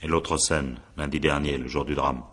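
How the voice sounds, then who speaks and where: A man acting in a radio crime drama as a patient, insistent investigator, deep-voiced and husky, with a wry, probing manner. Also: steady tone, normal speed, a bit bright, full character voice. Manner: probing, wry, conversational, authoritative. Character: patient, insistent male investigator questioning a witness.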